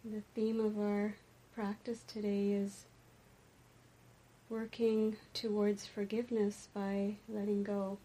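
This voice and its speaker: A woman teaching a guided meditation, speaking deliberately in short phrases with pauses between them. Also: mid-range voice, completely flat delivery, dark tone, poor audio. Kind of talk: guided meditation and dharma talk.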